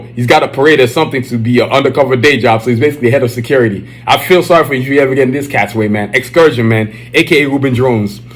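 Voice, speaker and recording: A man with a Nigerian accent hosting his own podcast, talking loosely and excitedly with lots of slang fillers. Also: mid-range voice. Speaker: podcast host. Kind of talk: casual solo podcast chat. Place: Dallas, Texas area.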